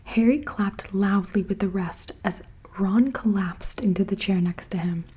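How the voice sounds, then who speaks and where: A woman reading a storybook aloud in a soft whisper. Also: medium pitch, measured pace, slightly rough. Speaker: reader.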